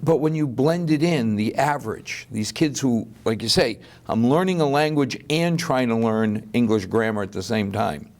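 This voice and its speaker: Guy hosting a local talk show, portuguese is his first language, chatty, mid-pitch voice. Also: moderate pace, hardly any pauses. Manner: chatty, storytelling with quoted asides. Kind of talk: casual chat.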